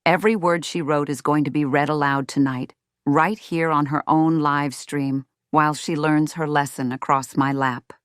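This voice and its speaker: A woman's voice: low voice, steady tone, normal speed, dry recording, clean studio sound, natural voice. A woman playing a strict, self-righteous middle-aged mother in a scripted roleplay, speaking to viewers with folksy, sugary condescension. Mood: stern. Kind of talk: scripted ASMR roleplay monologue.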